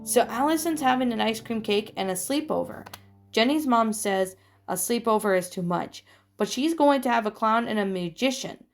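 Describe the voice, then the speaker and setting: A chatty little girl with a high voice who frightens easily, as a woman puts her on. She rattles on quickly, and her voice jumps up when she gets upset. Soft guitar plays faintly underneath. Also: lively intonation.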